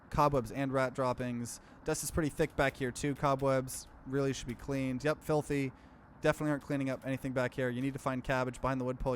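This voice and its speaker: A man's voice: medium pitch, a little hiss or hum. A man hosting a let's play, reading visual novel narration aloud and adding casual commentary. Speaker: gaming let's play host.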